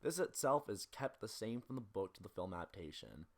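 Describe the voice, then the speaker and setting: A man narrates a scripted film analysis in a fairly low voice. He moves along at a rapid clip and sounds critical and opinionated, like a video essayist making a case. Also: big pitch swings, a little hiss or hum.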